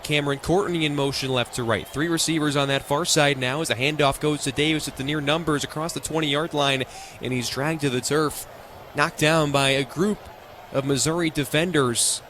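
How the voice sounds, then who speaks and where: A man's voice: on the high side, fairly bright tone, a little hiss or hum. An American man doing live radio play-by-play of a football game in clipped, present-tense phrases.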